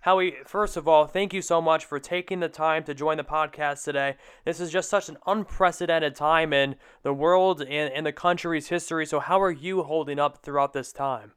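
Clear, higher-voiced young man hosting a podcast. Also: fairly even intonation, moderate pace, hardly any pauses, fairly bright tone. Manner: long, meandering questions. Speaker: podcast host. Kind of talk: conversational sports interview.

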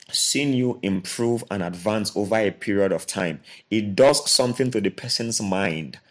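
A man co-hosting a motivational podcast, earnest and repetitive, often restarting his phrases. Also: fairly low pitch. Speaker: co-host.

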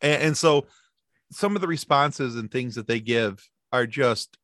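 A man in his thirties chatting casually as a podcast guest, sarcastic and animated, often restarting his sentences. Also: medium pitch, unhurried, no room sound, studio quality. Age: 30s. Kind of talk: casual chat.

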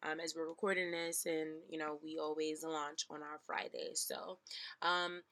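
A woman in her twenties chats as a podcast co-host. Her voice sits a little low for a woman yet sounds bright and forceful, and she talks playfully with plenty of slang. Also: flat delivery, moderate pace. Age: 20s. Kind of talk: casual podcast chat.

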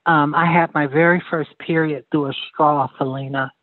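A woman's voice: low voice, steady tone, normal speed, dry recording. A woman from Sudan, speaking bluntly and at length as a guest in a candid personal interview. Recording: tinny dry phone line.

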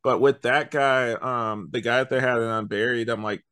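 Smooth-voiced man chatting on a podcast. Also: medium pitch, fairly even intonation, normal speed, fairly bright tone. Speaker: podcast host. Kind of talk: casual review chat. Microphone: online video call recording.

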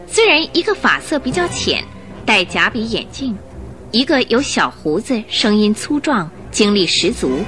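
A woman reading scripted narration for a Mandarin radio drama with expressive storyteller phrasing. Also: fairly high pitch.

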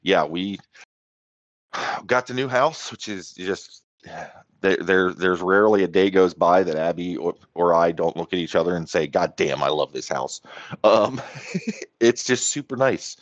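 A man's voice: low-pitched, measured pace, dry recording, highs cut off. A man in his forties co-hosting a podcast, laid-back and self-deprecating, swearing casually. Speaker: podcast co-host. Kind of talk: casual chat.

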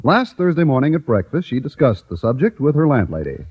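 Animated male announcer, vintage radio sound. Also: medium pitch, brisk, breathy edge, no room sound. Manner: emphatic, formal announcing. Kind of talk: 1950s scripted radio advertising and narration. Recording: dull, lo-fi old radio recording.

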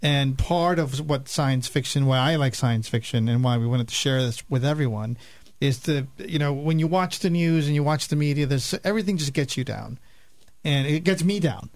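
A man's voice: mid-range voice, moderate pace, no room sound. A man co-hosting a radio show, explaining things in a casual, conversational way. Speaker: radio show and podcast co-host.

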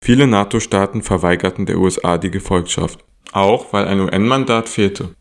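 Deep male narrator reading documentary script. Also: normal speed.

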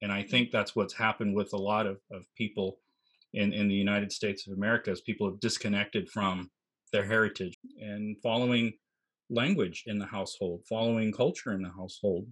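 A man hosting a conversation, thoughtful and halting, often restarting his sentences. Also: fairly low pitch, fairly even intonation, fairly bright tone, breathy edge. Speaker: host. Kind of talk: interview conversation.

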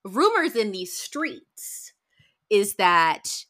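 A woman's voice: mid-range voice, very animated, drawn-out words, fairly bright tone. A woman interviewing a guest in a casual, supportive way, often chiming in with short agreement. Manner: supportive, frequent short affirmations.

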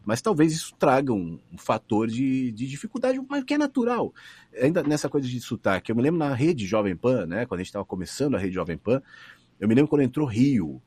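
A man's voice: mid-range voice, very animated, brisk, a little mellow, husky. A middle-aged radio announcer with a São Paulo accent, thoughtfully answering interview questions and often restarting his phrases. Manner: thoughtful, restarts phrases, rises and falls expressively.

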